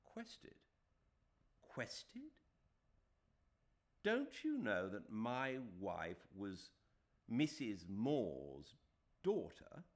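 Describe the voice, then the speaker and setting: A man's voice: lowish voice, wildly swooping pitch, very slow, long pauses, pitched above the performer's own voice, exaggerated voice. A man voicing a superior Englishman who speaks in a scathing, disbelieving way in an audiobook. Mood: incredulous. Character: scornful Englishman, cool and superior.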